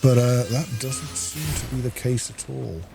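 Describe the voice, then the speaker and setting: A man giving casual playthrough commentary as a gaming host, thinking aloud in an unhurried way as he plays. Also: medium pitch, fairly even intonation, gravelly, music in the background.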